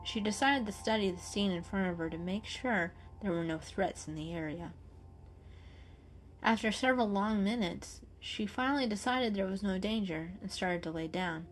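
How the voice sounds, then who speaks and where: A woman's voice: mid-range voice, moderate pace. Woman reading a children's story over music.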